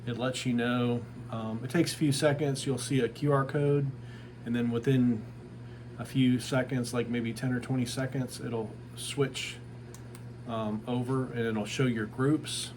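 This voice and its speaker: A man's voice: mid-range voice, steady tone, measured pace, darkish tone. A man giving a casual, unscripted product review in a plain-spoken, informal way, like a small business owner. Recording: clear background ambient noise.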